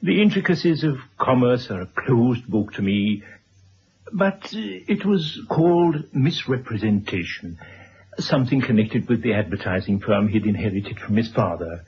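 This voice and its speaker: Male actor for kindly, formal older gentleman, period radio drama. Courteous, measured, apologetic; dull, noisy vintage sound. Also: lowish voice, lively intonation, lightly acted. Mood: sombre, regretful. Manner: formal, wordy, courteous, hesitant. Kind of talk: 1970s radio drama acting. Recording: dull old radio recording, clear noise.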